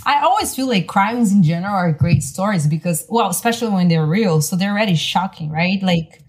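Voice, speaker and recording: A woman's voice: medium pitch, animated, brisk, slightly rough. A woman from Brazil co-hosting a podcast, talking in a casual, conversational and questioning way. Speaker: podcast co-host. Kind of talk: casual discussion.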